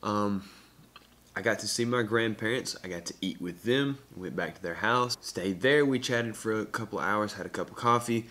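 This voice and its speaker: A man's voice: on the low side, normal speed, pushed and bright, slight background noise. A young adult man chatting casually to camera as a vlogger, upbeat and slangy, in short punchy phrases. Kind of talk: casual vlog chat to camera. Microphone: camera at arm's length or on table.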